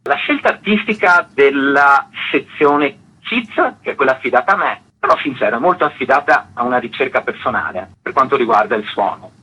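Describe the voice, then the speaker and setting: Husky man with an animated delivery. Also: mid-range voice, fairly quick, few pauses, low-quality recording. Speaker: festival artistic director, interview guest. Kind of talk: radio interview, explanatory talk.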